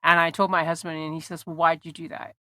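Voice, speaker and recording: A woman's voice: low voice, little pitch movement, fast talker, pushed and bright, no echo, clean studio sound. A woman hosting a podcast in casual chat, a bit congested, with lots of ums, restarts and laughs. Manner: chatty, laughs often, frequent ums and restarts. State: congested from allergies. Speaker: podcast host.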